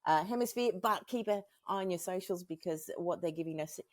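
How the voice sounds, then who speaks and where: A woman's voice: lowish voice, fairly quick, a little mellow, no room sound, clean studio sound. A woman hosting a conversational interview in an encouraging, effusive manner. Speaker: interview host.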